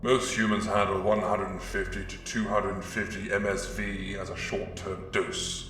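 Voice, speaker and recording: Low, even male reader of a written report, drenched in echo. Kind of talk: scripted narration, report-like reading. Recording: strongly echoing, reverberant voice. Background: faint music.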